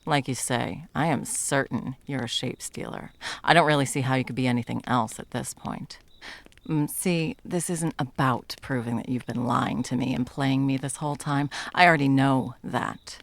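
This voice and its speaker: A woman playing a menacing, scornful monster hunter in an ASMR roleplay, speaking in a creaky, deliberate, dramatic way. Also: extremely low pitch, fairly even intonation. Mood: menacing, taunting.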